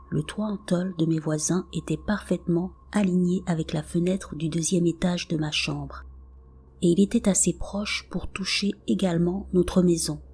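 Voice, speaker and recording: A woman reading scripted paranormal stories as a narrator, in a soft, half-whispered delivery. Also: on the low side, slightly rough. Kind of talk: scripted narration read aloud. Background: faint background music.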